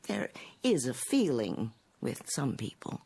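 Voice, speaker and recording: Gravelly, low, uneasy American woman. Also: sing-song, takes it slowly, warm tone, full character voice. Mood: uneasy, doubtful. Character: worried, suspicious small-town woman neighbor. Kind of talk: radio drama acting.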